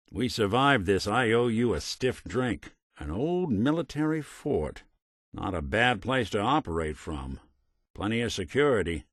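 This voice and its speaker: A hard-boiled robot private eye from a video game, speaks in a fairly low, very dry-recorded voice. His delivery is wry and world-weary, clipped like a noir gumshoe, yet his pitch moves around a lot. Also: a bit bright, no room sound, heavily acted. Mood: wry, steady. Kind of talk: scripted game character voice lines.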